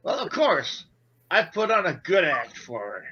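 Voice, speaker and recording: A slightly muffled recording of a gangster sidekick in 1940s radio style, played by a man. The voice is high and piercingly bright, jumping around in pitch. He sounds blunt, slangy and a little slow on the uptake, anxious to please. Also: wildly swooping pitch, slightly rough, exaggerated voice. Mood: deferential, blunt. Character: Stuart, male gangster henchman, blunt, gullible, anxious.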